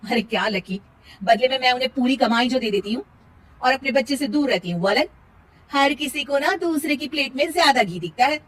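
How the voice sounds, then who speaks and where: A woman's voice: sits high, animated, a little fast, fairly bright tone, pitched above the performer's own voice, low-quality recording. A woman voicing an overworked young working daughter-in-law in a family cartoon, in a dramatic, exasperated manner. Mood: irritated.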